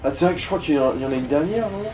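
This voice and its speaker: A man's voice: fairly high pitch, lively intonation, very fast, darkish tone, rough recording. A man giving casual let's play commentary as he guides the player, in slangy, crude language full of swearing. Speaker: gaming co-commentator guiding the player.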